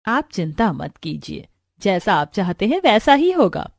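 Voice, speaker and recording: A rich mother-in-law from a moral tale, voiced by a woman. She is matter-of-fact and conscious of status, speaking at a medium pitch over faint music. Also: sing-song, measured pace, gravelly, no room sound, studio quality, full character voice. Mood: reassuring.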